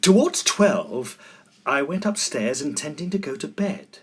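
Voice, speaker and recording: Actor as expressive, high-voiced Edwardian gentleman. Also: measured pace, faint music behind. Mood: genial, composed. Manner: formal, expressive, old-fashioned phrasing. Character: genteel Edwardian gentleman ghost hunter, sociable, easily shaken. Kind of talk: scripted first-person storytelling, period audio drama.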